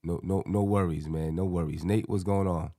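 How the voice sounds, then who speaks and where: A man with a hoarse, scratchy voice hosting a casual sports fan panel in slangy, colloquial talk. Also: sits low, steady tone, normal speed, warm tone, no echo, clean studio sound.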